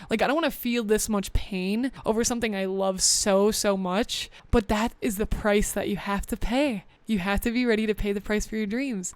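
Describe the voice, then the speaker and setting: A young adult woman hosting a podcast, talking earnestly and encouragingly in a loose, conversational way full of fillers, with a deep voice for a woman. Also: clean tone, no room sound. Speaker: podcast host. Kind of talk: motivational podcast talk.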